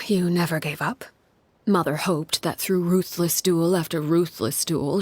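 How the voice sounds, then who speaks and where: A woman voicing a stern, solemn leader in a video game, speaking in a grave, measured, hushed way. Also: low voice, husky, dry recording, exaggerated voice. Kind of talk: dramatic video game acting.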